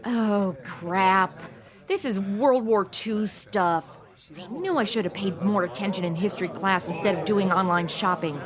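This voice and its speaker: A woman's voice: mid-range voice, a little slow, crowd noise, natural voice. A woman playing a sassy, irreverent modern young woman in a comedic audio drama, slangy and full of wry delivery.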